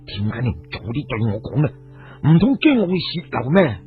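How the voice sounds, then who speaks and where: A man voicing a grave, loyal elder sworn brother in a radio story, speaking in a measured, sombre way. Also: medium pitch, huge pitch swings, soft background music. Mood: displeased. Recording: muffled phone-like radio recording.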